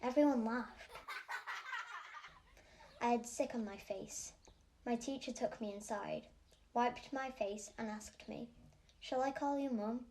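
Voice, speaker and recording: A woman's voice: a little high, slow pace, long pauses, mellow. A woman playing a solemn, odd eight-year-old Yorkshire girl telling her own story matter-of-factly in an audio drama. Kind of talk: first-person audio drama monologue.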